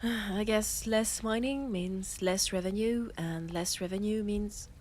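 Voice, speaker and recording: Slow-talking French woman playing a laid-off space miner. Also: mid-range voice, a little mellow, slight background noise, natural voice. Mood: rueful. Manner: chatty, hesitant, trailing off in pauses. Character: laid-off female space miner, weary best friend. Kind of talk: audio roleplay.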